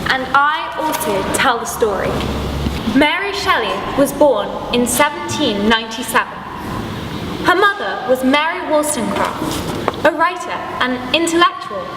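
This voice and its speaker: A young woman giving a deliberate, theatrical scripted narration, recorded from a distance in a noisy, echoey hall. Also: high voice, sing-song. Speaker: pupil narrator. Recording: distant, echoey hall with loud background noise.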